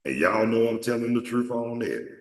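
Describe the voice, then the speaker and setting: A man's voice: animated, moderate pace. A man from Atlanta, hosting a show and telling stories in a rambling, profane way with lots of restarts. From Atlanta, Georgia. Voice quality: deep voice. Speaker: show host. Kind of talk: casual storytelling monologue. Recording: echoey room, mic at a distance.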